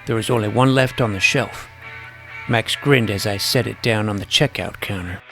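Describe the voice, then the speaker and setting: A man's voice: on the low side, moderate pace, fairly bright tone, soft background music. A man narrating a first-person scripted audio drama as its young protagonist, earnest and precise.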